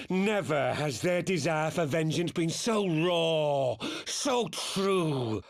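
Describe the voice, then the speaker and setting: An actor playing a fanatical, embittered rebel commander in a fantasy game, with a grandiose, theatrical delivery. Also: male voice, a little high. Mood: grim fervour. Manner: grandiose, bitter, slow dramatic declamation.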